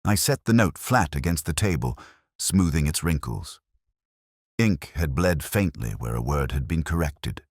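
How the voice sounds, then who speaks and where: A man playing a brooding, world-weary police inspector who narrates his case in a measured, sombre, wry literary style. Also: rumbling low, animated, no room sound, studio quality, exaggerated voice. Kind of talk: literary first-person detective drama narration.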